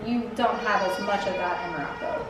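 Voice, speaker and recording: A woman's voice: medium pitch, a little fast. A young woman chatting casually in a Q&A, laughing often and using lots of fillers. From United States. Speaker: Peace Corps volunteer answering questions. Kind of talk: casual Q&A chat. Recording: echoey room, distant mic, background noise.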